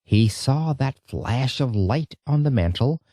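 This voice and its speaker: Mellow male audiobook narrator, lively intonation. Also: medium pitch, slow pace, dry recording, clean studio sound. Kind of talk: expressive scripted audiobook narration.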